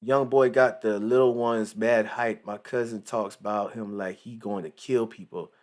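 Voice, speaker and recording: A man's voice: lowish voice, fairly even intonation, moderate pace. A man in his late twenties giving rambling, slangy casual commentary with lots of asides. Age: 20s. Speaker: commentary channel host.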